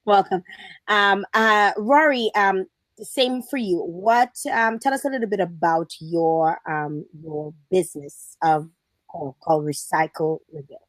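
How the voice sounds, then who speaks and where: A woman's voice: fairly low pitch, unhurried, dry recording. A woman hosting a casual remote interview, chatty and teasing, with hesitant fillers. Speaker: host.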